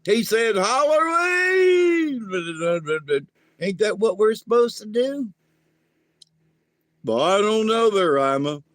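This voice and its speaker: A man's voice: sits high, animated, measured pace, long pauses, fairly bright tone, no room sound, clean studio sound, pitched above the performer's own voice. A man voicing a short, dim-witted male alien sidekick with a raised, earnest, folksy voice. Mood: unsure.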